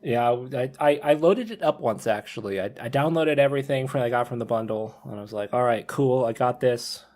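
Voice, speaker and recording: A man's voice: medium pitch, fairly quick, a little mellow. Rambling man hosting a podcast. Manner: rambling, hedging, steers the topic. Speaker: podcast host. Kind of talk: casual podcast discussion.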